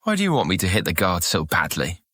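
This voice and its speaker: A man's voice: low-pitched, huge pitch swings, fast talker, a bit bright, breathy edge, no room sound, clean studio sound, heavily acted. A man playing a reluctant young troublemaker with a grudge, wary and grumbling, in a fantasy audio drama.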